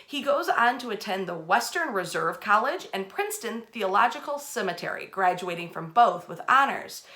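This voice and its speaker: Woman telling history like a story, bright forceful voice, chatty but really emphatic. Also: medium pitch, big pitch swings, normal speed. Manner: emphatic, repeats phrases for effect. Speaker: host, storyteller.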